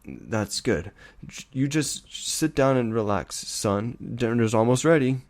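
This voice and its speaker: A man's voice: mid-range voice, animated, darkish tone, voice pushed up, lightly acted. A man voicing an anxious, doting father stallion in a story reading, tense and tender, tending to falter and repeat himself. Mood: forced cheer. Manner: shaky, halting, repeating himself. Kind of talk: animal fantasy story reading.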